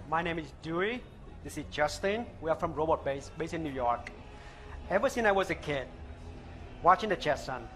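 Man with Vietnamese accent pitching on stage. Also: a bit bright. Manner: earnest, halting non-native phrasing. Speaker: startup founder pitching. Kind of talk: live product pitch. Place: open-air stage at a trade show. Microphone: headset mic.

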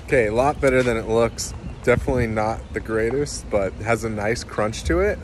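A man's voice: medium pitch, a little fast, a little hiss or hum. A man in his twenties chatting casually to viewers outdoors on a handheld camera, in a laid-back, folksy way. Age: 20s. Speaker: travel vlogger. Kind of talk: casual vlog talk. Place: outdoors, beach and town street. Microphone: handheld or body-worn action camera. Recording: loud music behind the voice.

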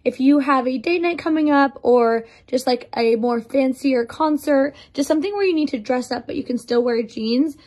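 A young woman with a slightly raspy voice from losing it, chatting bubbly to viewers in a try-on vlog, filmed on a phone in a mirror in a bright living room. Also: high voice, moderate pace, few pauses. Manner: bubbly and chatty, addressing viewers as you guys. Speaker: clothing brand try-on host. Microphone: phone held up for a mirror selfie.

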